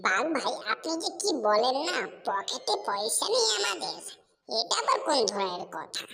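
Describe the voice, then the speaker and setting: Lady doing a polite croc in a kids cartoon, bit high, kinda protesting. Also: sing-song, normal speed, vocal fry, crowd noise. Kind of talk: Bengali cartoon character dubbing.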